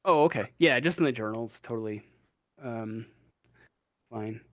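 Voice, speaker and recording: A man's voice: medium pitch, measured pace, no echo, studio quality. A man running a tabletop role-playing game as game master over a voice call, hesitant and full of fillers. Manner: hesitant, filler-heavy, trailing off.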